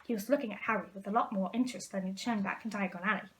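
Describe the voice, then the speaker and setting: A woman's voice: mid-range voice, rapid-fire, rough recording. A woman reading a storybook aloud as a storyteller. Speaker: storyteller in character as a witch. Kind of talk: storybook read-aloud narration.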